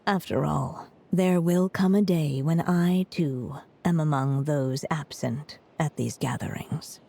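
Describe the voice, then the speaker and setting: A grave, wistful witch in a fantasy game. The voice is low and slow with a gravelly edge, set over faint music. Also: dark tone, full character voice. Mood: wistful, solemn. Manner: measured, grave, reflective. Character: solemn, wistful mature witch at a gathering.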